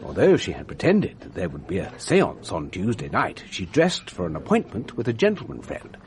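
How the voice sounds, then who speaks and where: A man acting in a radio drama as a precise, earnest, rather pompous gentleman amateur sleuth who speaks formally and methodically. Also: low-pitched, huge pitch swings, fairly quick. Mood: methodical, self-satisfied.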